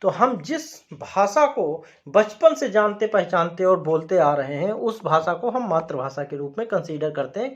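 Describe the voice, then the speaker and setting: Man from India, high voice, explanatory lesson, repeats points for emphasis, dull lo-fi recording. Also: a bit bright. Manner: repetitive, emphatic teacher phrasing. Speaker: educator.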